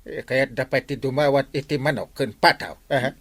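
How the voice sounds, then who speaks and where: A man's voice: mid-range voice, normal speed, no room sound, close to an everyday voice. A man acting a grumbling village neighbour in a comedic radio drama, with an Ilocano accent.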